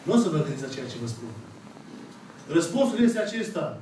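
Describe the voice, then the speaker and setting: A Romanian-accented priest in his thirties delivers a slow, emphatic sermon with long pauses. His voice sits high for a man. It reaches the microphone from across a small, echoey church, with faint room noise underneath. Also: big pitch swings, dark tone, distant mic. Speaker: Orthodox priest. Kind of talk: sermon retelling a parable. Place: small church full of icons, congregation seated. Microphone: camera at the back of the room.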